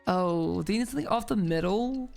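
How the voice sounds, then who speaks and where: A woman's voice: medium pitch, expressive, a little fast, gravelly, darkish tone. A woman streamer giving casual, joking commentary over a game in a slangy, reactive way. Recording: faint game music behind the voice.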